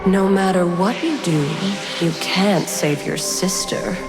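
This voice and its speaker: A woman voicing a haughty, cold ancient female spirit who judges heirs in a game, measured and condescending, with a long reverb. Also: lowish voice, animated. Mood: taunting. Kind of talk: dramatic game voice acting. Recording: long cavernous reverb, music behind.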